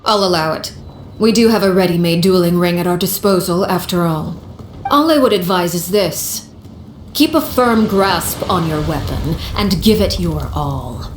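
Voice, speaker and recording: A woman's voice: on the low side, roomy sound, a little hiss or hum, lightly acted. A woman voicing an icy, commanding house leader. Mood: grave. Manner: measured, formal, icy. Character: cold commanding woman, head of a house, called Father. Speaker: stern matriarch and duelist. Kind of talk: game cutscene voice acting.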